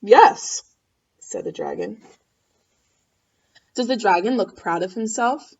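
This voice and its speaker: A woman's voice: mid-range voice, huge pitch swings, measured pace, slightly rough, highs cut off. A woman reading a picture book aloud to children expressively, with asides and questions for the listeners. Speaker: storybook reader.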